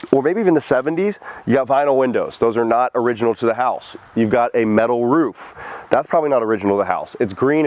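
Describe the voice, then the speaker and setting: A man's voice: mid-range voice, a little mellow. Sniffly man touring a house. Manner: casual, profane, thinking aloud. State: head cold, sniffly. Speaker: house flipper showing a property. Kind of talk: casual walkthrough vlog. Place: outdoors at a house.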